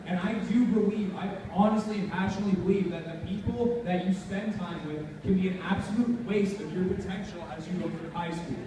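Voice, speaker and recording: Young guy doing a chatty motivational talk, high voice, super echoey and far from the mic. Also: fairly quick, few pauses, crowd noise. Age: young adult. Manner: informal, chatty, addressing the audience directly. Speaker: student motivational speaker. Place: large echoey room, before a live audience. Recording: very echoey room, distant mic, noisy.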